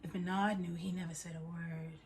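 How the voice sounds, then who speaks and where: A woman performing an intimate dramatic monologue as a haunted woman confiding a tale of passion, hushed, breathy and measured. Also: on the low side, level tone, slight background noise, natural voice.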